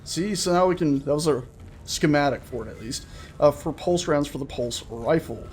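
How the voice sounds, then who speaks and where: A man giving casual, chatty let's-play commentary on a horror game, full of jokey asides and an excitable, jumpy manner. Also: on the high side, normal speed, a little hiss or hum. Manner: jokey, chatty asides to himself and the game's characters; jumpy, excitable, prone to swearing shouts. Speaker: gaming video host.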